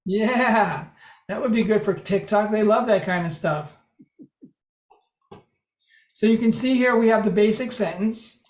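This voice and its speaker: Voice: medium pitch, measured pace, pauses often. A presenter at a club meeting explaining a code demo in a loose, casual way, often restarting sentences. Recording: roomy echo.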